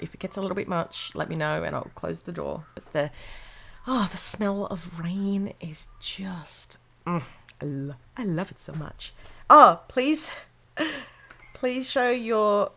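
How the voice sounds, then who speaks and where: A woman hosting a casual craft livestream from a room at home, chatty and bubbly, greeting viewers by name, her voice a little hoarse from illness. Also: medium pitch, a bit bright. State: slightly hoarse, losing her voice after being sick. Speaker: livestream host of a planner craft show. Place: room at home with a door open to rain.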